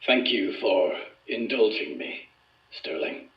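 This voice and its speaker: An earnest research subject in an audio drama, formal and deliberate. His low voice moves slowly, choosing each word with care. The sound is a muffled, echoing room with music behind. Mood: dreamy, recollecting. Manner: formal, measured, deliberate wording.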